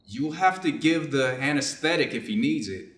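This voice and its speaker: The room rings around a mid-pitched male voice. It is a man acting a stern, conflicted Japanese doctor in a readers' theater drama, giving orders over a wounded enemy. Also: lively intonation, normal speed, a bit bright, lightly acted. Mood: stern, tense. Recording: echoey room.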